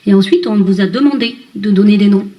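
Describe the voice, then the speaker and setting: A woman with a medium-pitched voice presents business at a town council meeting. She explains procedure in a formal yet unscripted way, as a local official addressing colleagues. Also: quick pace, mellow.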